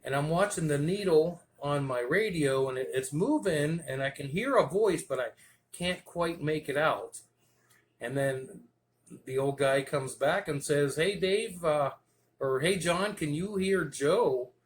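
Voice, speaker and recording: A man's voice: fairly high pitch, expressive, measured pace. A man hosting a hobby video, telling stories in an informal, folksy and casual way. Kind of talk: casual vlog storytelling. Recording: clear background noise.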